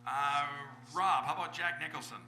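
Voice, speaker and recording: A man's voice: a little high, moderate pace, bright, forceful tone, soft background music. A man informally moderating a live comedy performance on stage, giving directions to performers. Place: comic convention stage. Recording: echoey live convention hall.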